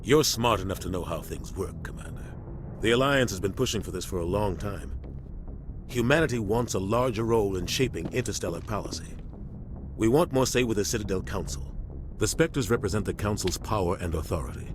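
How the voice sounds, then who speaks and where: A grave, commanding middle-aged captain acted by a man with a very low voice. He briefs in measured, authoritative military fashion, as in a sci-fi video game. A clear engine rumble sits behind him. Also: expressive, natural voice. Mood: firm, briefing. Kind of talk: video game dialogue acting.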